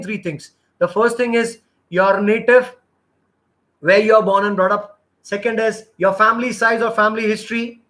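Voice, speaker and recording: A middle-aged man coaches job applicants in a live session. His voice sits high, and he talks in an earnest, rambling way, often restarting his sentences. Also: a bit bright. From India. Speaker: interview trainer.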